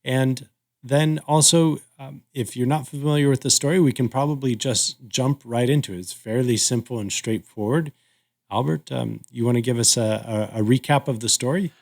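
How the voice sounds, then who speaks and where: A man's voice: medium pitch, normal speed, gravelly. A man hosting a podcast, chatting casually with a co-host.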